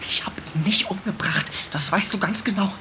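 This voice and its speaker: An actress playing a defiant, sharp-tongued teenage girl in a radio drama, cheeky, slangy and combative. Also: medium pitch, moderate pace, in a whisper, natural voice. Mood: accusing. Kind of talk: naturalistic German radio drama acting.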